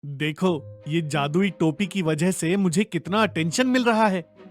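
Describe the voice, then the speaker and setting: A man's voice: high-pitched, unhurried, faint music behind. Man acting an insecure young cartoon husband. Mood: earnest. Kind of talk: animated comedy story voice acting.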